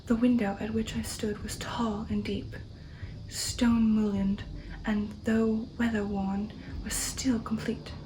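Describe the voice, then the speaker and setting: A woman narrating an ASMR audiobook of gothic horror in a soft, hushed, deliberate manner that often slips into a whisper. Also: fairly high pitch, level tone, noisy background. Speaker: ASMR storyteller reading a classic horror novel. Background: music.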